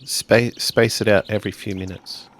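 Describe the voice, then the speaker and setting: A man improvising in a casual tabletop role-playing game, speaking as his character and narrating in a weary, halting way. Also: lowish voice, fairly even intonation.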